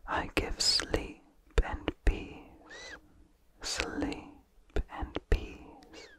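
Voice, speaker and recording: A reader voicing a seductive, hypnotic female vampire from a storybook in a soft, slow, coaxing whisper. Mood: soothing, luring.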